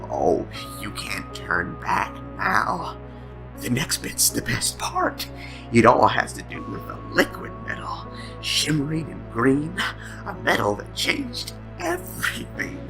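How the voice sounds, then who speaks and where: Sinister lonely madman, hissing near-whisper, gravelly creak, slow drawn-out taunts, audio drama, music underneath. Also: medium pitch, huge pitch swings, full character voice, low-quality recording. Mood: taunting glee. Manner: slow, theatrical, teasing with drawn-out words.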